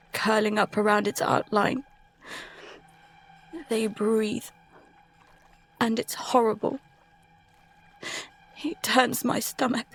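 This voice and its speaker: A woman acting a frightened, exhausted young survivor adrift at sea in an audio drama, halting and husky. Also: fairly high pitch, full character voice, poor audio.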